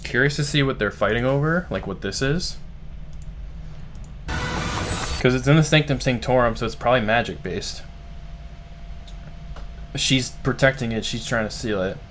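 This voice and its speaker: A man hosting a live stream, reacting to a game trailer in casual, excited, rambling talk full of "like". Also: mid-range voice, measured pace, long pauses, noisy background. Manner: excited, rambling, heavy use of "like". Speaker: streamer host.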